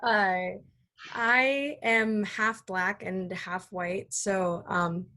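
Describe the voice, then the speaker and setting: Young woman, American accent, mid pitch, candid, hesitant pauses, personal testimony, video call. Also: fairly even intonation, pushed and bright. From Flagstaff, Arizona, United States. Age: young adult. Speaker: actor in a staged reading. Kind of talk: personal testimony in an online discussion.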